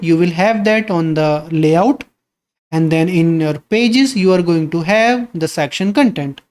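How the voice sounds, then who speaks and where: A man's voice: sits high, darkish tone. A man hosting a live coding stream, reading viewer questions aloud and answering them in a casual, conversational way.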